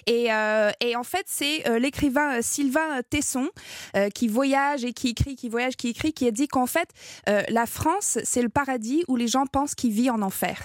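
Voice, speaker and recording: High-voiced English Canadian woman guest. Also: moderate pace, no room sound, clean studio sound. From English-speaking Canada. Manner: hesitant, with many fillers. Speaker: communication coach, guest. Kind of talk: radio interview.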